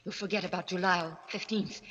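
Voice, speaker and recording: A woman's voice: on the low side, steady tone, brisk, a bit bright, close to an everyday voice. Woman acting a snooty scheming mistress, cold and coaxing, old radio play, big reverb, noisy muffled sound. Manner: affected, imperious, coaxing. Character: haughty, seductive scheming mistress, coldly murderous. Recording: muffled vintage radio, hall reverb, hiss.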